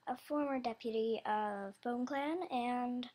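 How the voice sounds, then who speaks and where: A young woman with a high, youthful, slightly childish voice talks casually and off the cuff. She speaks slowly, rambling and hesitating as she searches for the right words. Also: a little mellow. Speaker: video creator announcing animation voice auditions. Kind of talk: casual unscripted chat.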